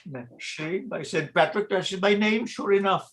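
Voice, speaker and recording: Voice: mid-range voice, normal speed, a bit bright, slight background noise, lightly acted. A performer doing a flustered Irish priest. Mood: blustering. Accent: Irish brogue. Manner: theatrical, flustered, wheedling. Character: Irish priest posing as a Flemish chaplain, male. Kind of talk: stage-play reading.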